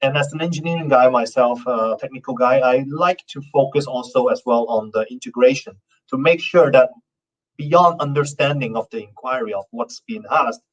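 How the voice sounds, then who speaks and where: Male founder at a conference talk, mid pitch, halting with fillers, picked up from a distant mic with a dull, muffled tone. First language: not Norwegian. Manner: loose, halting delivery with many fillers and restarts. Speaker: conference speaker, company founder. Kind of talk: conference presentation. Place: conference stage.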